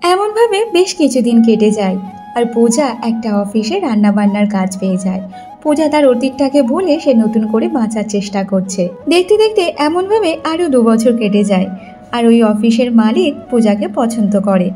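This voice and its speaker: Narrator, female: high mellow voice, scripted moral-story storytelling, light music underneath. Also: lively intonation, moderate pace.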